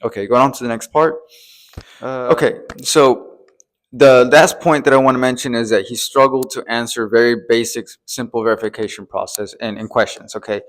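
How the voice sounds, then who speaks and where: A man with a mid-pitched voice speaks as an advisor to business owners. His delivery is explanatory and emphatic. He hammers key warnings by repeating them and keeps checking that the listener follows. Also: fairly even intonation, normal speed. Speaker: treasury advisor, presenter.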